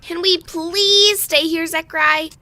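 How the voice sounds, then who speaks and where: Very high-pitched, eager little creature kid. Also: female voice, steady tone, slow, bright, forceful tone, no echo, heavily acted. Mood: pleading. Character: tired little Zoombini child, eager and pleading. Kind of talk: amateur audio drama acting.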